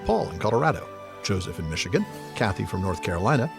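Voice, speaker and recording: A man's voice: sits low, big pitch swings, fast talker, vocal fry, over music. A man co-hosting a podcast in a casual, jokey way, moving between banter and sign-off. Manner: jokey, laughs often while talking. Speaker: podcast co-host.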